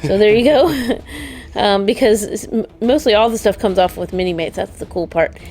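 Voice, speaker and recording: Female co-host, mid-pitched, enthusiastic and chatty, casual show-and-tell delivery, light background noise. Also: moderate pace, darkish tone. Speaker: co-host of a toy unboxing show.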